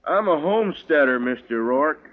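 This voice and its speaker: An actor playing a stubborn homesteader in an old radio western, with steady, defiant, folksy rural speech. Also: male voice, fairly high pitch, normal speed, natural voice. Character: stubborn, slow-spoken, folksy male homesteader. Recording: muffled, noisy old radio recording.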